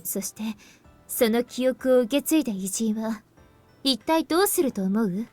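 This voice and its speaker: Composed young woman, high-pitched voice, quick delivery, grave explanatory tone, visual novel game. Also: expressive, darkish tone, faint music behind. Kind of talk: Japanese visual novel voice acting.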